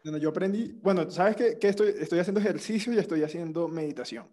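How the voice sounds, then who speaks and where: A young man with a Venezuelan accent talks in an easy, rambling way, full of slang and restarts. His voice sits a bit high for a man, with a warm, mellow tone. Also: fast talker. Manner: relaxed storytelling with slang and restarts. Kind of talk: casual chat.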